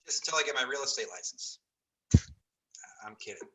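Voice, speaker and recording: A man's voice: medium pitch, expressive, brisk, noisy background, lightly acted. An actor playing a glib, self-pitying TV writer in his thirties in a comedy table read. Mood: deadpan, rueful.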